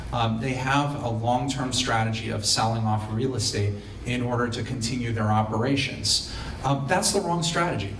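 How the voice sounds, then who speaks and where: A man who is a political candidate giving an off-the-cuff debate answer, with halting, hesitant phrasing. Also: mid-range voice. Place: college auditorium public debate. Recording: echoey hall, distant mic, background noise.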